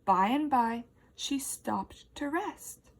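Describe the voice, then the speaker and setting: A woman's voice: high-pitched, lively intonation, takes it slowly. A woman teacher reading a children's story aloud expressively and asking young listeners questions.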